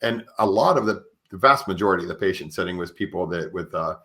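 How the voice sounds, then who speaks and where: Male podcast host, somewhat low voice, halting conversational storyteller. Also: lively intonation, bright, forceful tone. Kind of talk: conversational interview with personal anecdotes.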